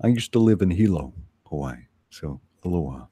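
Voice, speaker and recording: A man's voice: low voice, animated, normal speed, mellow, slightly rough, no echo. A man co-hosting a casual livestream, teasing and joking dryly as he chats. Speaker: livestream co-host. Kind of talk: casual bantering chat.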